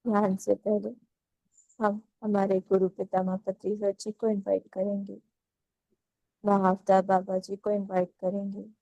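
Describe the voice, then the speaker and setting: A woman leading a guided meditation in a calm, unhurried, soothing manner. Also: mid-range voice, creaky, dry recording, lo-fi sound. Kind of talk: slow guided meditation instruction.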